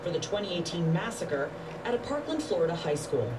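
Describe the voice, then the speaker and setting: A woman's voice: lowish voice, sing-song, a little fast. A woman narrating a scripted television news report. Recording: distant, noisy broadcast monitor feed.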